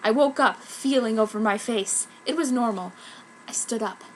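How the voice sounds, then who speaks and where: A woman's voice: on the high side, normal speed, a little hiss or hum, low-quality recording. A woman reading an amateur story aloud in a dramatic, comic narration style. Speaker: fanfiction reader.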